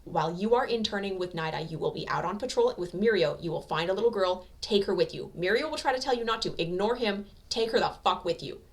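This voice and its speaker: A woman performs a brash young male hero from an anime fan story. He is gruff and bossy, swearing freely and talking with blunt impatience, in a mid-pitched voice. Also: animated, fast, hardly any pauses, lightly acted. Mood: urgent, bossy.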